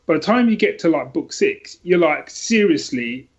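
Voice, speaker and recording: Bit dull lo-fi audio, guy with a highish voice, keen rambly book chat, keeps restarting. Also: animated, normal speed. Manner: enthusiastic, emphatic, rambling with repeated restarts. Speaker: podcast guest discussing books.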